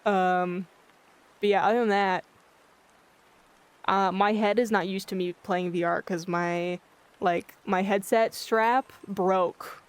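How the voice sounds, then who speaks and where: A livestreamer chatting casually with viewers in a monotone, blunt-sounding way, often losing their train of thought. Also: medium pitch, slow pace, pauses often, smooth. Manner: rambling, loses track of thoughts. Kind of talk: casual chat with live viewers. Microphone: filtered streaming mic.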